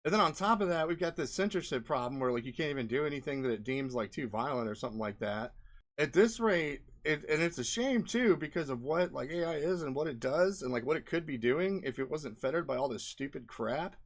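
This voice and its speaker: A man's voice: a little high, expressive, a little fast. A man giving casual, off-the-cuff commentary over video clips in a rambling, colloquial way, often checking in with "right?". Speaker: indie game developer, video host.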